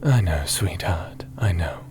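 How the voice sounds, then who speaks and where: A man playing a gentle, cautious fantasy companion in an ASMR roleplay, speaking in a measured, soothing, slightly formal way. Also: low voice, animated, slight background noise. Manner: measured, soothing, slightly formal, sometimes breathy near-whisper. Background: music.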